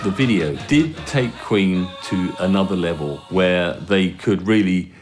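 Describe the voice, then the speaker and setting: A man commentating in a measured, analytical way for a documentary. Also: sits low, soft background music.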